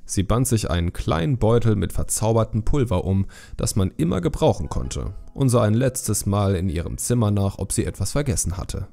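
A man's voice: lowish voice, big pitch swings, moderate pace, gravelly, hardly any pauses, mellow, faint music behind. A man reading scripted fantasy narration as an audiobook storyteller.

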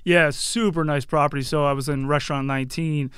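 A man's voice: fairly high pitch, steady tone, fairly quick, a bit bright, clean tone, dry recording, clean studio sound. A man around his forties, chatting casually and telling anecdotes as a podcast guest. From Yardley, Pennsylvania and New Jersey, USA. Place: small curtained podcast studio. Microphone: close broadcast mic on boom arm.

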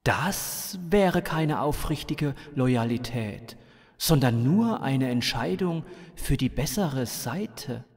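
Man voicing a slow, commanding alien messenger. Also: mid-range voice, expressive, breathy edge, big-room sound, exaggerated voice. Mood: grave, imperious. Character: solemn, commanding alien messenger addressing an earthling.

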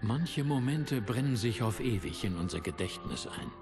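An actor in a German game dub playing an older, commanding military corporate leader, speaking in a measured, oratorical way. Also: male voice, medium pitch, expressive, close to an everyday voice. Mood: grave, stirring. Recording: clear music under the voice.

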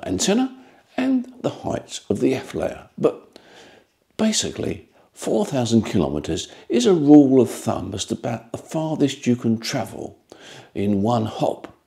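A man, explaining things informally to camera in a measured way with rhetorical asides. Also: medium pitch, vocal fry, darkish tone. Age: 70s. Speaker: ham radio channel presenter.

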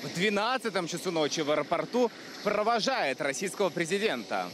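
A man in his forties reporting live on location, improvising quick commentary from inside a moving motorcade car. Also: high-pitched, very animated. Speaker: field reporter. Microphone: handheld reporter mic. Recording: loud noise from a moving car.

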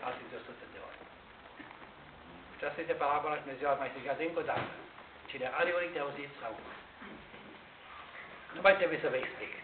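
An older man, a priest, preaching a sermon in a measured, explanatory way with pauses and restarts, recorded by a far camera inside a large church. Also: mid-range voice. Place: large Orthodox church interior, indoors. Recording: tinny, echoey church recording with hiss.

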